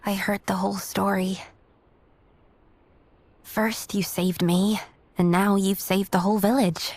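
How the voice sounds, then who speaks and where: A woman playing a gentle, compassionate young oracle healer in a fantasy game, with a soft, breathy, hesitant delivery. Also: medium pitch, level tone, unhurried, no room sound. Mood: tender, sorrowful. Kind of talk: video game cutscene acting.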